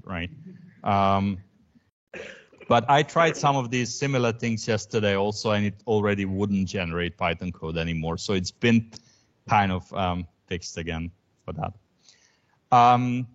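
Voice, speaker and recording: A man whose first language is Croatian, giving an academic lecture in a thoughtful way, with frequent ums and restarts. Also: lowish voice. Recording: dull lo-fi recording.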